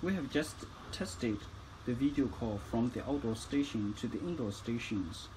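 A man's voice: mid-range voice, expressive, normal speed, background noise. A man giving instructional tutorial narration as a product demonstrator, phrasing each step carefully.

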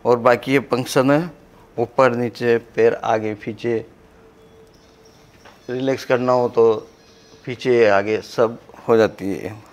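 A man's voice: medium pitch, fairly even intonation, slow pace, long pauses. A middle-aged man, a longtime caretaker, explaining his daily duties in a simple, matter-of-fact way. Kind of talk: casual explaining. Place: bedroom of a house, indoors.

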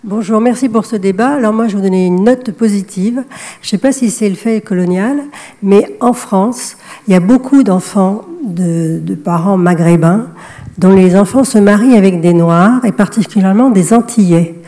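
A woman's voice: mid-range voice, normal speed, warm tone. A woman, a geneticist, making a direct and assertive comment from the audience.

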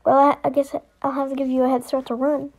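A woman with a fairly high voice does amateur voice-over for a homemade animation. She reads character lines as acted dialogue. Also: little pitch movement, quick pace, darkish tone, rough recording.

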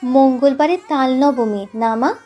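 A woman's voice: high-pitched, unhurried, warm tone. A woman voicing a poor, hopeful, easily hurt little village boy in an audiobook story, with music underneath. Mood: hopeful, curious. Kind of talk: audiobook character voice.

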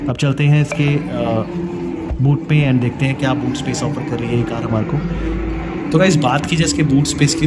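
A man's voice: medium pitch, very noisy. A man giving a casual walkaround car review at a busy indoor auto show, chatty, mixing English words into Hindi. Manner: chatty, mixes English words into Hindi, frequent "guys" and "yaar".

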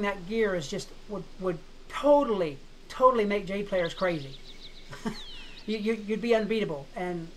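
Woman, gaming commentator, slightly hoarse, chatty, skeptical, faint background hiss. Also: medium pitch, rough recording. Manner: chatty, skeptical, laughs often. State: slightly hoarse, frog in throat. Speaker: gaming YouTuber. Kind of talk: casual game commentary.

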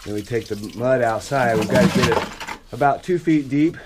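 A man's voice: mid-range voice, normal speed, very noisy. A middle-aged man, a drainage contractor, walking through a basement sump pump job as he works, in a plain, practical way. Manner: plain, practical explaining while working. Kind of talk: on-site how-to walkthrough. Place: dug-out basement crawl space. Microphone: head-mounted action camera.

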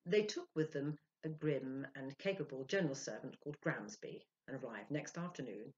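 A woman in her sixties reading a story aloud as an expressive, theatrical storyteller. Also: a bass voice, moderate pace. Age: 60s. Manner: theatrical, acting out dialogue. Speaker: storyteller, reader. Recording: dull lo-fi home recording.

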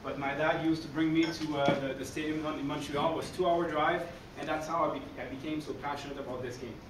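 French-Canadian coach lecturing in an echoey hall. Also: male voice, on the high side, quick pace, recorded from a distance. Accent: Québécois French. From Quebec City, Canada. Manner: energetic, chatty, often adds "okay?". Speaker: baseball coach giving a conference talk. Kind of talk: conference presentation. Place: dark conference hall, speaking in front of a screen. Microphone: room recording from a far camera. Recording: echoey hall, heard from afar, noisy.

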